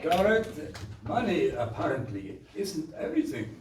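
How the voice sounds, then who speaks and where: A man's voice: a little high, very animated, unhurried. A man playing a pompous, scheming pantomime villain and father with theatrical delivery, recorded in an echoey stage setting. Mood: grudging. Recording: echoey theatre stage, distant mic, loud noise.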